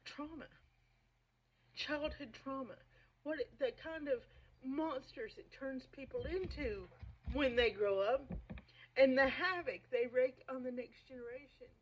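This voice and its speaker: A woman giving informal literary commentary in an emphatic, opinionated and somewhat halting way. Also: high-pitched, slightly rough. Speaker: reader and commentator. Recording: dull, muffled lo-fi recording.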